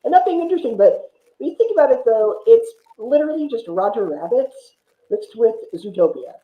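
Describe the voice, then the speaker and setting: A man's voice: very high voice, very animated, brisk, reverberant, phone-quality sound. A man chatting casually about films as a podcast guest, explaining at length with frequent asides. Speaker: guest film reviewer on a podcast. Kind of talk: casual film discussion.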